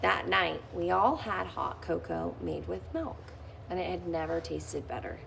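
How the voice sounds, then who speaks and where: Woman reading a kids book out loud, unhurried, expressive, bit of faint hiss behind. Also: fairly low pitch, gravelly, rough recording. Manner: expressive, with lively lifts on key phrases. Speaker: reader of a children's book. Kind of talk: read-aloud storytelling.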